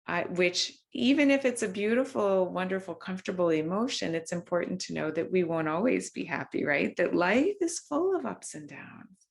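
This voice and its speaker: Soft gravelly woman, slow and gentle, guiding a mindfulness circle over a video call, lots of ums. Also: on the low side. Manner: soft, unhurried, with frequent ums and restarts. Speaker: workshop facilitator. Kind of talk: gentle guided mindfulness talk.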